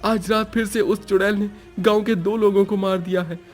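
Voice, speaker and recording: A frightened village man in a horror tale, put on by a male narrator. The voice is pushed very high, urgent and pleading, with faint music behind it. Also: steady tone, normal speed, natural voice. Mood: panicked. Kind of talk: horror story character voice.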